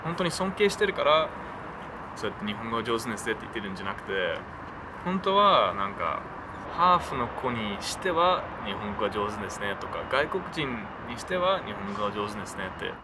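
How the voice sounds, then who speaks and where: A man speaking candidly in an interview, animated, with lively swings in his voice. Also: lowish voice, rapid-fire, noisy background.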